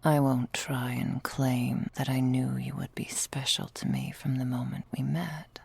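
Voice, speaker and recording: A woman playing a loving, patient vampire girlfriend in a whispered ASMR roleplay, with soft breathy delivery and tender, languid, formal phrasing. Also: a bass voice, level tone, a little slow, no echo, exaggerated voice. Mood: tender, devoted.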